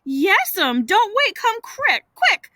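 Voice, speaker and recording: A woman's voice: sits high, huge pitch swings, takes it slowly, pushed and bright, no room sound, clean studio sound, voice pushed up, exaggerated voice. A woman voicing a frightened, earnest young boy in a storybook, exclaiming urgently. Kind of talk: storybook character voice.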